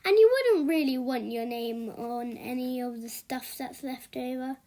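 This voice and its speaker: A woman reading a children's book aloud as an expressive storyteller. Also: high-pitched, measured pace.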